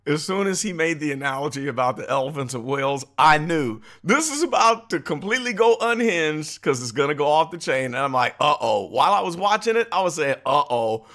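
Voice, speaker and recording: Male American commentary host, middle-aged, slangy, very lively intonation. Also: a little high, brisk, hardly any pauses. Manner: animated, slangy, amused. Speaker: political commentary video host. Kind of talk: casual reaction commentary.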